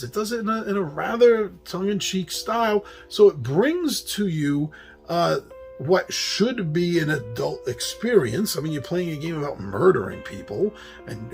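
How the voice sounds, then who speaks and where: A chatty man with a New York accent hosts a casual monologue, his fairly high voice swinging up and down as he talks. Faint music plays behind him. He laughs easily at his own slips. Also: creaky. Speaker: host. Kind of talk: casual monologue on board games.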